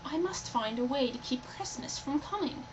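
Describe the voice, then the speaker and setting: A woman's voice: high-pitched, lively intonation, normal speed, far from the mic. A woman voicing a sour, scheming male Grinch from a Christmas storybook, with a snarling manner. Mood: sour, nervous. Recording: noisy home computer recording.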